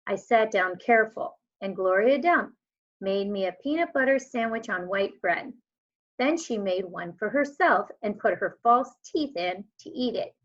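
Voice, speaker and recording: A woman's voice: mid-range voice, expressive, measured pace. A woman, a teacher, reading a children's story aloud as narrator. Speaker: elementary school teacher. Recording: dull lo-fi home recording.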